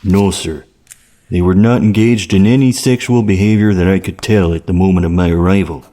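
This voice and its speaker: A man's voice: low voice, fairly even intonation, a little fast, warm tone, close to an everyday voice. A man playing a polite, evasive, matter-of-fact police officer in an audio drama, speaking plainly. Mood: guarded, deadpan.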